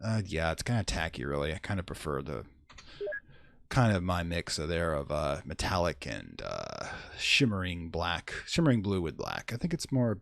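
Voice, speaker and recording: Low-voiced, animated man commenting on gameplay. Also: moderate pace, vocal fry, no room sound, studio quality. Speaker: video game let's play host.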